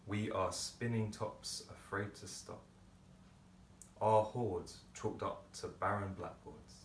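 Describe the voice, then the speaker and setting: A deep-voiced man delivers spoken-word poetry in a theatrical, deliberate way. He is heard from some distance in a very roomy space. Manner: theatrical, deliberate phrasing with pauses. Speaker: poet performer. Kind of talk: dramatic spoken-word poetry monologue performance. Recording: roomy, distant microphone, background noise.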